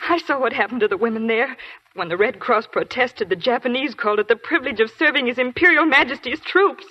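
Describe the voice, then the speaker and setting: An actress playing a terrified young army nurse on the edge of panic, sobbing and husky, in a 1940s radio drama. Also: female voice, a little high, fast talker, pushed and bright. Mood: hysterical. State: sobbing, hysterical. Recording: dull lo-fi 1940s radio broadcast.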